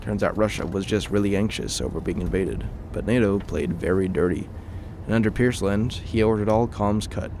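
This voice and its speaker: A man's voice: lowish voice, normal speed, background noise, close to an everyday voice. A man playing a weary, haunted soldier in his forties in an audio drama, grave and terse. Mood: grim, bitter. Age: 40s. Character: weary haunted 40-year-old male ex-soldier in hiding. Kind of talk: audio drama acting and narration.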